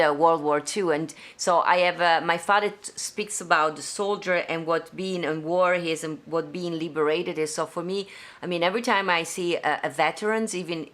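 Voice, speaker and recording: Italian woman host, very low voice, halting live-stream chat over a video call. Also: fairly bright tone. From Italy. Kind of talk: casual live-stream interview. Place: her home.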